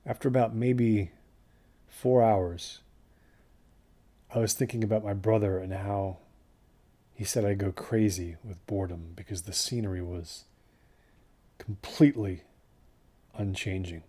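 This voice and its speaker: A man acting in an audio drama as a hiker recalling a monotonous desert road, halting and conversational. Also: lowish voice. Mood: reflective. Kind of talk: naturalistic monologue in audio drama.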